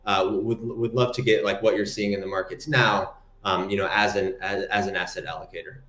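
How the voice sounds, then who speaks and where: A man from Morocco interviewing a guest, speaking in a measured, thoughtful way with many small restarts. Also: lowish voice, steady tone, fairly bright tone, echoey room. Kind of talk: interview conversation.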